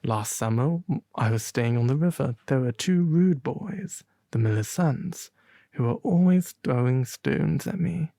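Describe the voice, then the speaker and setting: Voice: medium pitch, animated, measured pace, warm tone, no room sound, clean studio sound. A performer voicing a small, proud, fussy male swallow from a storybook. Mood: haughty, indignant.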